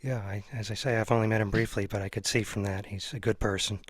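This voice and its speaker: A man's voice: on the low side, steady tone, quick pace, no echo. A man hosting an interview show, chatting casually and earnestly. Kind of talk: casual conversation.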